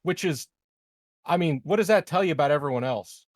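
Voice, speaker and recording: A man's voice: a little high, fairly quick, no room sound, studio quality, close to an everyday voice. A man playing a flippant, self-excusing president who stammers and rambles in a casual tabletop game. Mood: mock indignant.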